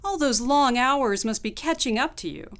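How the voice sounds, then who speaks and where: A woman playing a soothing, doting housewife in a radio play, reassuring and gently dismissive. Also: on the high side, animated, moderate pace. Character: soothing, doting housewife, fussy over her little dog. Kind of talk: mid-century radio play acting.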